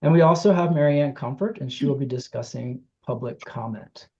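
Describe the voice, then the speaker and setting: A host informally welcoming people to an online meeting, speaking haltingly and searching for words. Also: medium pitch, mellow. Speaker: host, justice team director. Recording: dull, lo-fi meeting audio.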